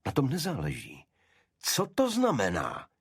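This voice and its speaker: A man's voice: medium pitch, wildly swooping pitch, husky, dry recording, clean studio sound, voice pushed up. A man voicing a relentless male detective in an audiobook, pressing his questions with accusation. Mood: probing.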